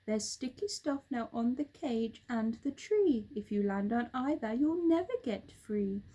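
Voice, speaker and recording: A woman's voice: fairly high pitch, animated, unhurried, mellow, breathy edge. A woman voicing a roly-poly storybook bird, calling out a rhyming warning in a sing-song way.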